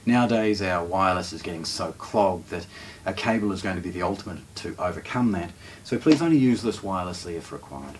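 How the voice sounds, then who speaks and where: A man's voice: deep voice, expressive, fairly quick, slight background noise. A man with a New Zealand accent presenting a casual product unboxing, explaining things informally step by step as he handles them.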